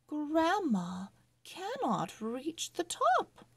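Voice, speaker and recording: A woman voicing an opinionated little girl in a Christmas storybook, with drawn-out, emphatic delivery. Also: sits high, wildly swooping pitch, voice pushed up, heavily acted. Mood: contrary.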